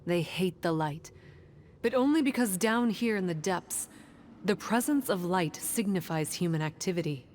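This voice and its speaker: An actress voices a composed young female scholar in a video game. Her voice sits a little low, and she explains in a grave, measured, bookish manner. Faint ambience lies behind her. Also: expressive, a little mellow, a little hiss or hum, lightly acted. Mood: calm, grave. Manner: measured, matter-of-fact, bookish. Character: composed, serious young woman, knowledgeable explainer of lore.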